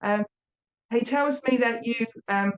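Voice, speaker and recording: A woman's voice: a little high, takes it slowly, lo-fi sound. A woman with an Essex accent giving a live mediumship reading to a viewer, chatty and reassuring as she passes on messages.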